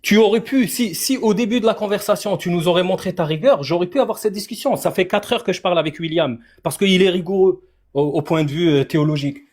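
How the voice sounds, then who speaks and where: A man's voice: high-pitched, fast, a little mellow. A man in a heated online debate, defensive and deflecting, often breaking in with "attends" and "tu vois". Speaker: debate guest.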